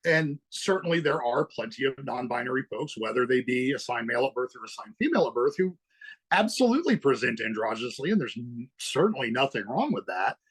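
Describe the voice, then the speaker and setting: A man in a casual podcast chat, explaining in a measured, thoughtful way. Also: mid-range voice, very animated, a bit bright, slightly rough, rough recording. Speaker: podcast co-host or guest.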